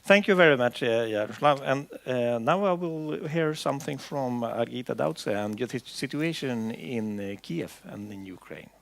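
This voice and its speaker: A man's voice: medium pitch, lively intonation, a little slow, gravelly, hardly any pauses, dry recording. A man moderating a conference panel, asking questions with many fillers. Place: conference hall.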